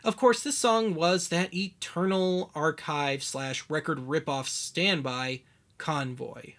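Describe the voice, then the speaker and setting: A man narrating a scripted documentary as its host, with wry, deadpan humour. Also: a little high, slow pace.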